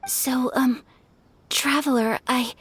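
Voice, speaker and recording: A woman voicing a refined, gentle young noblewoman in an anime-style game, earnest and reserved, speaking politely and in a measured way. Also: high voice, fairly even intonation, breathy edge, heavily acted. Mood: shy, nervous. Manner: polite, measured, softly hesitant.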